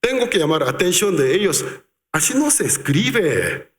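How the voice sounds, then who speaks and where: A male pastor preaching a sermon, acting out quoted voices and slowing down to stress key words. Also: sits high. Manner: animated, acts out quotes, slows for emphasis. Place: large reverberant church hall. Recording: echoey church hall.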